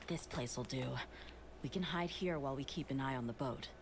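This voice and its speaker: A woman's voice: very deep voice, fairly quick. A woman playing a serious, watchful young adventurer with a concerned air in a video game. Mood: alert, concerned. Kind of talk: scripted video game voice acting. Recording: game audio with clear background noise.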